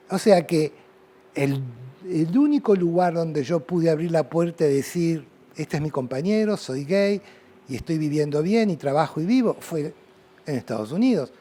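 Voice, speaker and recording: A man's voice: on the high side, dark tone, slightly rough. A middle-aged man from Uruguay with a Rioplatense Spanish accent, an artist reflecting at length in an interview, with many fillers and restarts. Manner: thoughtful, rambling, frequent fillers.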